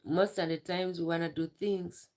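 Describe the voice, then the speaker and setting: Woman, tearful, low voice, halting, repeats herself. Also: fairly even intonation, darkish tone. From Uganda. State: tearful, emotional. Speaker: livestreamer. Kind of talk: emotional live stream talk.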